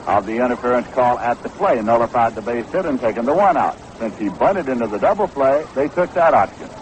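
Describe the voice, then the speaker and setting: An American man calling baseball play-by-play in a measured, descriptive 1960s radio style. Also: medium pitch, lively intonation. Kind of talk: 1960s radio sports commentary. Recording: noisy vintage 1960s AM radio broadcast.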